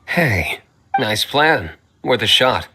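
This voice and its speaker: A man's voice: mid-range voice, sing-song, takes it slowly, noisy background. A man voicing a cool, aloof young nobleman in a video game, terse and dry.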